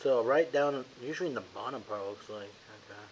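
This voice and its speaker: A man's voice: medium pitch, brisk. A man playing a tabletop game with friends, chatting in a blunt, informal, slangy way. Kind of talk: casual banter. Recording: muffled home recording with faint hiss.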